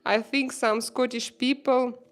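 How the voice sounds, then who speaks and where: A woman from Russia with a Russian accent, hosting a casual livestream chat, talking haltingly with many fillers and frequent laughter. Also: a little high, fairly even intonation. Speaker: livestream host.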